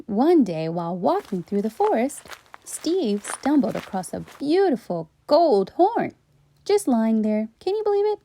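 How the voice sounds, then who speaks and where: A woman reading a storybook aloud for children in an expressive way, with playful asides. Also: fairly high pitch, unhurried, no room sound. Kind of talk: animated storybook read-aloud.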